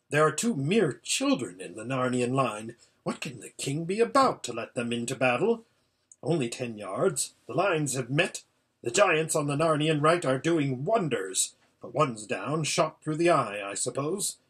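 A man's voice: medium pitch, fairly bright tone, husky. A man voicing an impatient old hermit seer in a storybook, giving an anxious, exclamatory running report. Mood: urgent. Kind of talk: storybook character voice.

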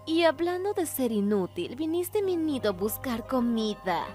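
A woman's voice: sits high, big pitch swings, moderate pace, over music. A woman voicing a taunting, menacing female monster bully in a cartoon dub, with Latin American Spanish. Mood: taunting, threatening.

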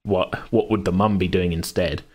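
Male game master, deep voice, informal podcast chat, loose and rambling delivery. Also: steady tone, normal speed, a little mellow. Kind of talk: casual chat about a tabletop game.

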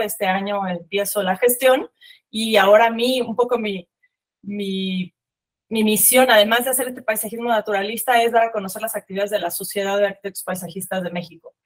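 A woman in her forties from Mexico City with a Mexican accent, giving a webinar talk on a video call, conversational with many fillers and restarts. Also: mid-range voice. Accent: Mexican Spanish. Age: 40s. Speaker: landscape architect giving a webinar. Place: room with bookshelves. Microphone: video call webcam.

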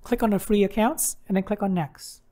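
A woman's voice: medium pitch, steady tone, a little fast, mellow. A woman hosting a how-to tutorial, narrating instructions step by step. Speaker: tutorial host.

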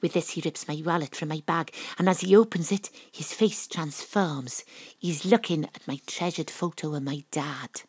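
A woman's voice: sits low, normal speed, darkish tone, breathy edge, no echo. A woman playing a determined, wry Welsh private detective, acting and narrating in a noir audio drama. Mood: hushed, wry.

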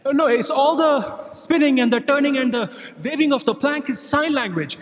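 A man's voice: very high voice, moderate pace. A man playing a flustered, defeatist sidekick in a staged space comedy, hesitant and exasperated. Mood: explaining. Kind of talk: staged audio drama comedy. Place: on stage in a theatre. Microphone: stand microphone.

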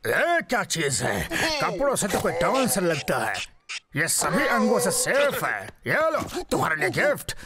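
A man's voice: high-pitched, wildly swooping pitch, a little slow, over a noisy crowd, exaggerated voice. A man voicing a greedy, boastful conquistador villain in a cartoon dub, with a theatrical, gloating manner. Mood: curious, haughty. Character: scheming, greedy male conquistador treasure hunter, boastful villain. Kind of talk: exaggerated cartoon dub acting. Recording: loud cartoon music behind.

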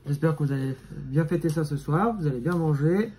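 A man's voice: a little high, a little fast, dark tone, husky. Chatty Italian-native man, home vlog. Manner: chatty, fills with "voilà". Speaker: vlog host. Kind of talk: casual chat. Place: small home room indoors. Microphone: phone at arm's length.

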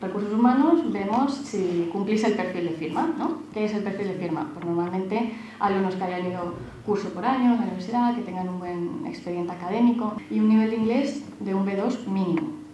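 A woman's voice: medium pitch, fairly quick, dark tone, lo-fi sound. A woman with a Spanish accent from Spain presenting to students as a recruiter, reassuring and often checking they follow. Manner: reassuring, frequent checking tag "¿vale?". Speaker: recruiter speaking at a careers talk. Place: large auditorium, panel table. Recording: very echoey hall, some noise.

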